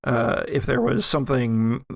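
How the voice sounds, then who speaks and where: Male game master, descriptive halting narration, slow pace, vocal fry, dry close sound. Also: medium pitch, lively intonation, no room sound. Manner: halting, descriptive, self-correcting. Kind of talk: improvised tabletop horror game narration.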